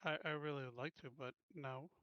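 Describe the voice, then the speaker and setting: A man's voice: fairly high pitch, fairly even intonation, fairly quick, smooth, no echo, lo-fi sound. A man chatting casually as a player in a tabletop roleplaying game.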